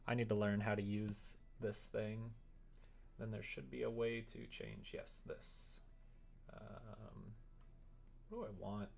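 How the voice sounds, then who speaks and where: A casual livestreamer of unclear gender with a mid-pitched, gravelly voice that often drops into fry. They talk slowly and informally, muttering their thoughts aloud as they work, with exasperated, self-deprecating asides. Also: steady tone, darkish tone. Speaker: live streamer. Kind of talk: casual livestream chat while working, thinking aloud.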